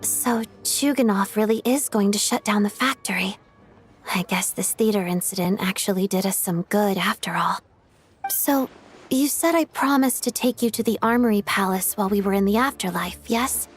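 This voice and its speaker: A composed woman from a video game, played by an actress talks in a medium-pitched voice. She is practical and trusting, with a matter-of-fact delivery. Faint music runs behind her. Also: moderate pace, natural voice. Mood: reflective, agreeable. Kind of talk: video game dialogue acting.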